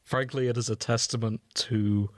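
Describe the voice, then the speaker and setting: A man's voice: medium pitch, fairly even intonation, normal speed, no echo. A man hosts a casual podcast in a dry, close-sounding recording. He banters in a wry, teasing way, with loose and sweary phrasing. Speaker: podcast host and editor.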